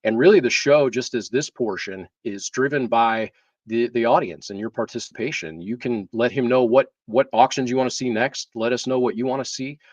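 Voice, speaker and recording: A man hosting a live talk show, speaking in a hesitant way with drawn-out pauses. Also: medium pitch. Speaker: show host. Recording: dull, roomy, distant microphone.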